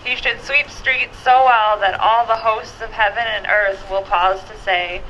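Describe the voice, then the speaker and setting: Bright-voiced woman reading a quote aloud. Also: mid-range voice, steady tone, unhurried. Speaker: quote reader at event. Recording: dull sound, faint traffic noise.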